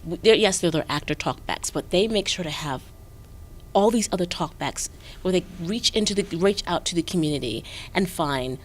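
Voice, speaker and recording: A woman actor in an interview, talking fluently and expressively, at times trailing off softly. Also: fairly low pitch, animated, normal speed, a little hiss or hum. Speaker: actor guest. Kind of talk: casual interview.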